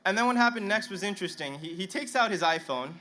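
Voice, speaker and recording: A young man, a native Punjabi speaker, addresses a stadium crowd from a podium microphone. His voice is bright and sits a little high, and he talks with nervous, self-deprecating humor. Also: expressive, fast, rough recording. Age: young adult. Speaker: student commencement speaker. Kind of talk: commencement speech. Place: outdoor stadium ceremony.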